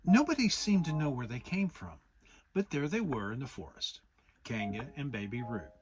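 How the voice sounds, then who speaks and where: A man's voice: medium pitch, big pitch swings, moderate pace, a little hiss or hum. A man reading a children's story as a dramatic audiobook narration. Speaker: audiobook reader.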